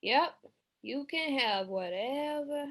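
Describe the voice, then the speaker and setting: A woman's voice: high voice, expressive, slow pace, fairly bright tone, full character voice. A woman playing a poor, weary mother soothing and indulging her children, in a script read-through. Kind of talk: rehearsed table read of a screenplay.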